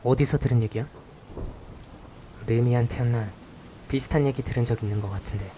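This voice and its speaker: A man playing a brooding, gloomy young protagonist of a visual novel, with subdued, halting delivery. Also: medium pitch, a little hiss or hum, natural voice. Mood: casual, curious. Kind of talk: visual novel inner monologue and dialogue. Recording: loud rain and thunder behind. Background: rain and thunderstorm.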